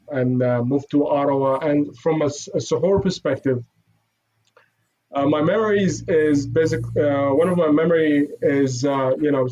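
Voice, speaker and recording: A man from Iraq, a modest interview guest who talks haltingly with many restarts. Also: on the high side, mellow. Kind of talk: casual interview. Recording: dull lo-fi sound.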